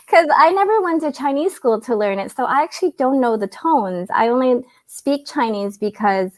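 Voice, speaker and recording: A woman's voice: high voice, animated, normal speed, dry recording. A woman whose first language is Cantonese, chatting casually as a guest on a live video call, giggly and full of fillers. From Canada.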